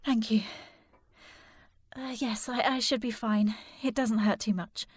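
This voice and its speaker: A woman's voice: a little high, fairly even intonation, unhurried, dry recording, studio quality. An actress playing a polite, earnest and determined young lady in a period audio drama, with formal speech. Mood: shaken, apologetic. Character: distressed, polite, determined young lady searching for her sister.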